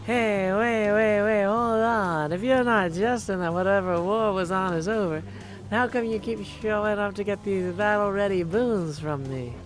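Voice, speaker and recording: A man's voice: high-pitched, measured pace, low-quality recording. A man voicing a laid-back, merry god of wine from a video game, with a familiar, easygoing drawl. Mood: puzzled. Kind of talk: video game character dialogue. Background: music.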